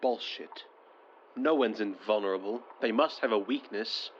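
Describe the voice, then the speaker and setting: A man's voice: on the low side, moderate pace, lightly acted. An actor playing a shaken but stubbornly hopeful male survivor in an audio drama, urgent and blunt. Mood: urgent resolve. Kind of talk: modern audio drama acting. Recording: muffled, noisy handheld field recorder.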